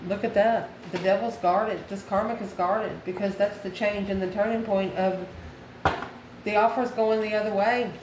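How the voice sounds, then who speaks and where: An echoey room with noticeable background noise surrounds a woman of ordinary pitch. She reads tarot as a channel host, speaking directly to the viewer in a folksy, familiar way and repeating phrases for emphasis. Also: fairly even intonation, normal speed, darkish tone. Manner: folksy, familiar, addresses listener as honey and baby. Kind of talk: tarot reading addressed to viewers.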